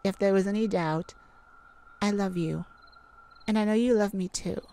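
A woman playing an excitable, affectionate mage sweetheart in a romantic ASMR roleplay, with a gushing, easily flustered, rambling manner. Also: mid-range voice, normal speed, natural voice. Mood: moved, affectionate.

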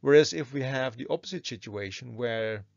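Low-pitched, creaky man lecturing. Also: moderate pace, no room sound, lo-fi sound. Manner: methodical, step-by-step explaining. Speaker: university lecturer. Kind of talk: explanatory lecture over slides.

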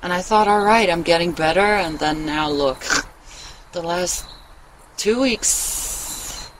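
A woman's voice: lowish voice, takes it slowly. A middle-aged woman vlogger chatting casually and confessionally to camera, rambling and candid, with casual swearing. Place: outdoors in a wooden shelter in the woods. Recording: clear outdoor ambient noise.

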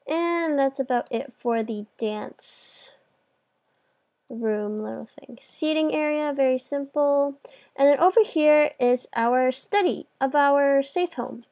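A woman's voice: high-pitched, a little mellow. A woman hosting a video game tour, chatting informally as she gives casual commentary on what she is showing.

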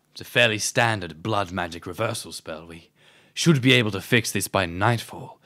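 A man's voice: deep voice, pushed and bright. An actor playing a vain, self-important but polite insect-man leader with grand ambitions in an audio drama.

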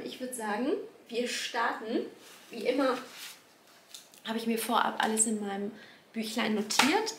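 A woman's voice: on the high side, roomy sound, a little hiss or hum. A woman in her forties, a beauty vlogger with a slightly nasal voice from a cold, chatting through a product update at home in a rambling way, with many fillers and self-corrections. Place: home room, indoors.

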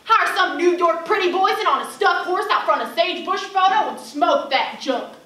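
A woman's voice: extremely high pitch, expressive, normal speed, sharp tone, full character voice. A young woman on stage playing a brash, fed-up rodeo cowgirl with a Southern US accent and a salty, folksy, emphatic manner. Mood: scornful. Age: young adult. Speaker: student actress performing a monologue. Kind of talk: theatrical stage monologue. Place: indoor theater stage. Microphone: far camera. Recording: distant, echoey theater recording with noise.

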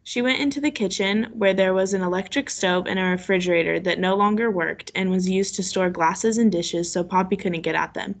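A woman's voice: mid-range voice, brisk, few pauses. Woman teacher reading aloud to class. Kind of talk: read-aloud lesson for children. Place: online video class call. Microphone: video call.

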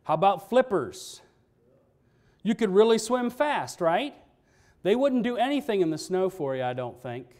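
A middle-aged male pastor with a notably high voice, preaching from a church pulpit. He keeps it conversational, putting questions to the congregation as he goes. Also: very animated, a little slow, a little mellow. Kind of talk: sermon. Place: church sanctuary, standing at a pulpit. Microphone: pulpit microphone, filmed by a fixed camera.

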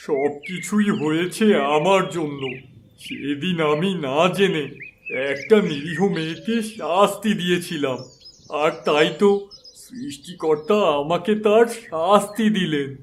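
Solemn, anguished holy hermit, high-pitched male actor. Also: a little slow, slight background noise, lightly acted. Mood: remorseful. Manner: sorrowful, solemn, earnest. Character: wronged, anguished holy hermit, pious and determined. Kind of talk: melodramatic fairy-tale cartoon dubbing.

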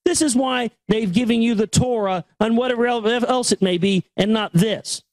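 A man reads and comments in a high-pitched voice. He is blunt and emphatic, hammering points through repetition and swearing freely. The sound is dry, with no room around it. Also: normal speed, a little mellow, no echo. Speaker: host reading a book with commentary. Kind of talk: impassioned commentary and book reading.

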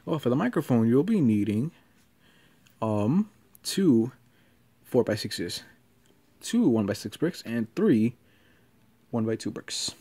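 A man giving a casual hands-on tutorial in halting, short step-by-step instructions. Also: medium pitch, sing-song, warm tone, slightly rough. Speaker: tutorial presenter. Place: carpeted floor in a room at home.

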